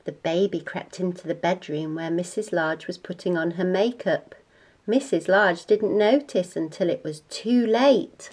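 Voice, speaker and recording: Slow-paced woman reading a bedtime story. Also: on the low side, a little mellow. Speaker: children's story reader.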